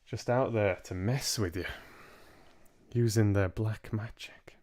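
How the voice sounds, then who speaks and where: Male livestream host, twenties, low register, very unhurried, casual chat. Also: a little mellow. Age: 20s. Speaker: live-stream book reader and host. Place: small home room, indoors.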